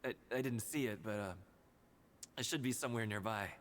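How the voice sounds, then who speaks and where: A man's voice: lowish voice, a little fast, slight background noise, lightly acted. An actor playing a nervous, evasive man in a video game, hesitant and stammering. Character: nervous, evasive male club member, forced cheer.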